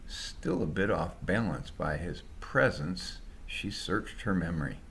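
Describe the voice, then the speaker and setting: A man with a fairly low voice reads a story he wrote himself. His narration is colloquial and dramatized, with very animated intonation that rises and falls widely. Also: a little slow, creaky, a little hiss or hum. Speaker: storyteller, author reading own story.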